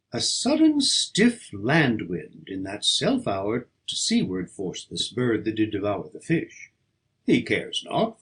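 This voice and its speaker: Slow, expressive man reciting poetry. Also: medium pitch. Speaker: audiobook reader.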